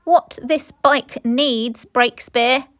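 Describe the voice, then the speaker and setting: A woman reading a children's storybook, voicing a determined, resourceful woman cyclist with slow, drawn-out emphasis. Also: sits high, steady tone, fairly bright tone, pitched above the performer's own voice, heavily acted. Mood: undaunted. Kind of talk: children's storybook read-aloud.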